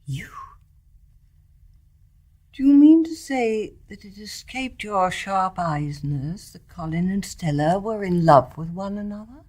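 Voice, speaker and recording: A woman's voice: mid-range voice, lively intonation. Composed older English mother-in-law. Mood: calm, wry. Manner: measured, articulate, gently frank. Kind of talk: stage-play radio drama acting.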